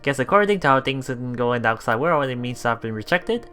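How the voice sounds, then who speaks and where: A woman casually reading and reacting to a visual novel as a let's play streamer, giggly, excitable and expressive. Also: rumbling low, fast talker, soft background music.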